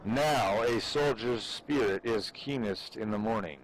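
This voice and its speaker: Male reader, lowish voice, slow and grave audiobook-style delivery, faint rumbling noise behind a game voice chat. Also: low-quality recording. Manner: solemn, deliberate delivery with pauses. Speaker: amateur reader performing for fellow gamers. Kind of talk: dramatic audiobook-style reading of a military treatise.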